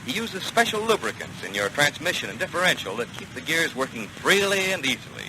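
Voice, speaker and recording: A man's voice: fairly high pitch, big pitch swings, brisk. A man working as a commercial announcer, delivering a scripted 1940s radio advertisement. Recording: noisy 1940 radio broadcast.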